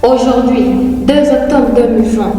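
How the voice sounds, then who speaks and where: A young woman reciting a patriotic spoken-word poem in a solemn, declamatory way, in short deliberate phrases. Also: on the high side, warm tone. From Guinea. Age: young adult. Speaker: poet. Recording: very echoey, long hall reverb.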